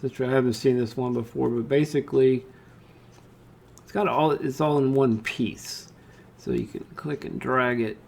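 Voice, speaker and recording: Casual man with a creaky voice giving a tutorial. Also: mid-range voice, fairly even intonation, moderate pace, darkish tone. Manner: informal, thinking aloud while demonstrating. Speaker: software tutorial presenter. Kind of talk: casual step-by-step screen tutorial narration.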